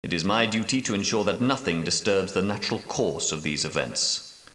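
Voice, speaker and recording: An old knightly guardian of a holy relic in a video game. His voice is low, solemn and portentous, with formal phrasing. It rings in a roomy echo, a little distant from the microphone. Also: lively intonation, moderate pace, a bit bright. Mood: formal, authoritative. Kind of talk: video game dramatic dialogue.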